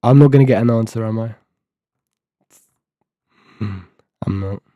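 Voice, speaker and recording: A man's voice: lowish voice, measured pace, warm tone, dry recording, studio quality. Eighteen-year-old man mumbling through code. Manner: mumbling, thinking aloud, reading docs half to himself. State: sore throat. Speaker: live-coding streamer. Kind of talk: casual live-stream talk while coding.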